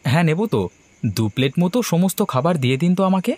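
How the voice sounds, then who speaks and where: An actor playing a polite, uneasy young man visiting a village in an animated horror story. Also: fairly high pitch, fast talker, natural voice.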